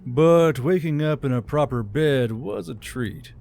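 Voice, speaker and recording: A man's voice: a little high, a little slow, creaky. A man reading scripted fantasy fiction as an audiobook narrator. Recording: soft music bed under narration.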